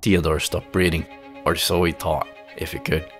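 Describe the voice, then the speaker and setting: Casting: male narrator, very low voice, dramatic fantasy audio drama delivery over clear music. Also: flat delivery, normal speed, fairly bright tone. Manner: dramatic storytelling.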